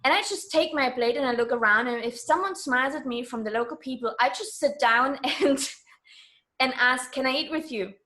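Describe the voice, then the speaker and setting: Higher-voiced woman from Germany, chatting candidly. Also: fairly quick, sharp tone. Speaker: interview guest. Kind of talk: casual interview chat.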